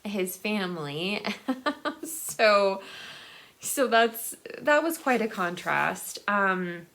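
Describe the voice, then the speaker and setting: A woman talking casually and unscripted as a book vlogger, in a halting way, thinking aloud and rephrasing as she goes. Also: mid-range voice, expressive, a bit bright.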